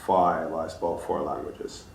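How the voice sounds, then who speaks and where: A deep-voiced man in his thirties from Finland, a native Swedish speaker, answering interview questions in a calm, level tone. He talks in a relaxed, reflective way and restarts his sentences. The lapel mic picks up a roomy echo with a faint hum of ambience. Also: slow, vocal fry. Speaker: guest. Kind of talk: casual interview talk. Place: small studio room, seated in armchair.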